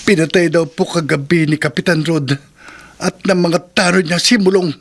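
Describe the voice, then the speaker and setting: A man's voice: fairly high pitch, steady tone, normal speed. A man playing a brooding, loyal young Filipino aswang, with earnest, emotional horror radio drama delivery. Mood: grieving, indignant.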